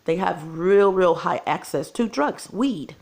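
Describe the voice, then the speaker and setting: A woman's voice: on the low side, animated, slow pace, vocal fry, a little mellow. A woman, losing her voice, talking to her viewers in an earnest, repetitive casual vlog monologue. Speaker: channel host.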